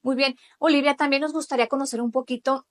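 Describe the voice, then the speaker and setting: Slightly high-voiced woman interviewing. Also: fairly even intonation, quick pace. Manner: affirming, short backchannels. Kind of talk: conversational interview.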